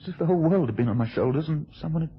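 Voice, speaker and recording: A man's voice: on the high side, animated, very fast, breathy edge. An actor playing a troubled, guilt-burdened man in love, hesitant and intense in an old radio drama. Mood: dazed relief. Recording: dull, noisy old radio recording.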